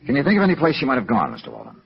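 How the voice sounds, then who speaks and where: An American man playing a brisk, hard-boiled insurance investigator in a 1950s radio noir drama, clipped and matter-of-fact. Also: medium pitch, huge pitch swings, vocal fry, a little hiss or hum. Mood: probing. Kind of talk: 1950s radio noir acting and first-person narration. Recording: muffled vintage radio recording with music.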